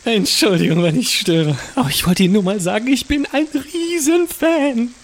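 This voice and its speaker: An actor voicing an eager, fawning, polite cannibal office worker in a comic audio drama, gushing and stammering with awe. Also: male voice, extremely high pitch, moderate pace. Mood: starstruck, shy.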